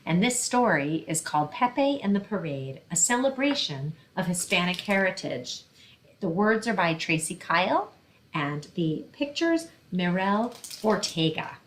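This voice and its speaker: A woman's voice: on the low side, sing-song, measured pace, rough recording. A woman reading a picture book aloud and explaining things simply for children, as a librarian hosting story time.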